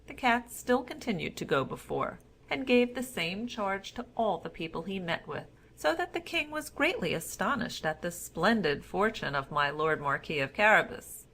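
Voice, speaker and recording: Female storyteller, mid-pitched voice, for measured, formal fairy-tale narration from a script. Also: fairly bright tone.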